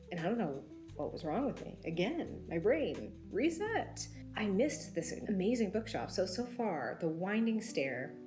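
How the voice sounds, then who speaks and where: An enthusiastic young woman rambles through a casual vlog. Her voice sits low for a woman. Music plays clearly under her talk. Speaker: book vlogger.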